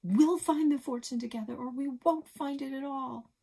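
A woman voicing a bold, excitable girl treasure hunter who talks in a raised, eager voice. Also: high-pitched, normal speed, gravelly, pitched above the performer's own voice. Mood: alarmed.

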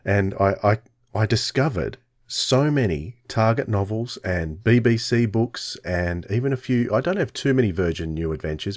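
A middle-aged man, hosting a casual podcast chat in halting, hesitant phrases. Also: fairly low pitch, no room sound, clean studio sound. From Australia. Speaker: podcast host. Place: indoors on a video call, close dry sound.

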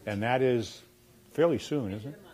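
A man in his sixties from Boston, with a Boston accent, telling wry stories in a relaxed lunchtime talk in a lecture room. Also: mid-range voice, moderate pace. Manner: wry storyteller, quoting people with punchlines. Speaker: former dean giving a talk. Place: dark lecture room in front of chalkboards.

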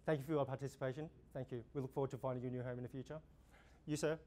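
A young man with an Australian accent, an auctioneer running a practice auction, calling bids in a steady repeating rhythm. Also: mid-range voice, racing, a little hiss or hum. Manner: rhythmic, repetitive bid calling, gestures while talking. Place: indoor room before a projection screen.

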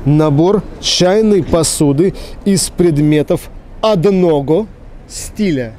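A man's voice: fairly high pitch, takes it slowly, warm tone, slight background noise. A man in his thirties hosting a casual street quiz, joking and mock-serious, rambling with crude slang, into a handheld microphone outdoors. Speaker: street quiz show host. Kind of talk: casual comic street interview. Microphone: handheld reporter microphone.

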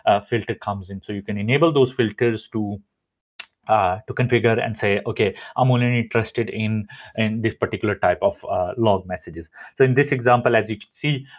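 Man, webinar presenter, hesitant, lots of fillers, mid-pitched, thin phone-line sound. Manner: hesitant, many fillers and restarts. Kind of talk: technical webinar explanation over slides. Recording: tinny phone-like audio.

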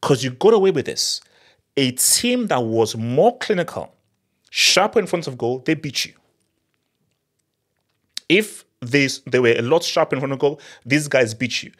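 A man in his thirties, a football channel host, giving an animated, emphatic post-match analysis on a studio mic in a small home studio. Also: mid-range voice, a little slow, long pauses, breathy edge. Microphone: studio mic on boom arm.

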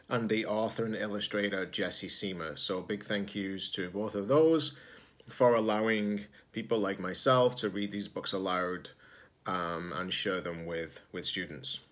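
A man in his forties, a teacher-librarian reading a children's picture book aloud, expressive and slightly husky, pausing to ask listeners questions. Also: lowish voice. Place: indoors, room at home with sloped ceiling.

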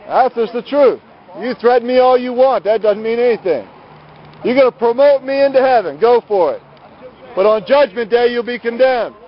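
Guy street preaching really high pitched, scolding and arguing, muffled outdoor phone video sound. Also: normal speed. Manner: loud, scolding, combative. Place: outdoors at night on a city sidewalk beside a road. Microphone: handheld camera. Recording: muffled lo-fi phone camera audio.

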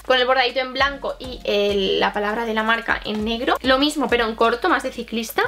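A woman's voice: fairly high pitch, sharp tone. A woman in her twenties with a Castilian Spanish accent, chatting informally to camera in a casual haul vlog with rambling asides. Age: 20s. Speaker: vlogger. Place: small bedroom, indoors. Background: background music.

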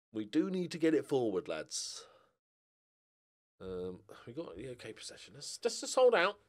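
A man's voice: mid-range voice, huge pitch swings, measured pace, long pauses. A middle-aged man giving casual, sweary commentary on a football manager game, talking to his players and viewers into a close mic. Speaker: gaming streamer. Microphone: close desk microphone.

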